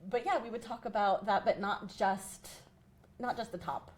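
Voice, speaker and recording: A woman activist, a guest on a talk show, speaking in an animated way with frequent "you know". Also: mid-range voice, normal speed. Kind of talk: casual chat.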